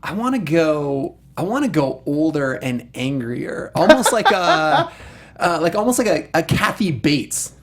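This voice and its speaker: A man's voice: on the high side, huge pitch swings, a little slow. A man co-hosting a review show, giving opinions on films in a casual, emphatic way. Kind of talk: casual, opinionated film discussion.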